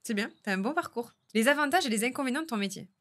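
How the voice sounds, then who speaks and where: A woman hosting a podcast interview, friendly and agreeable, tossing in quick follow-up questions. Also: fairly high pitch, lively intonation, fairly bright tone. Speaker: podcast host. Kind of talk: casual interview chat.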